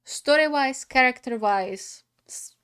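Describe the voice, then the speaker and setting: Gaming host, woman, highish voice, unhurried and halting, casual off-the-cuff reactions. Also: a bit bright, smooth.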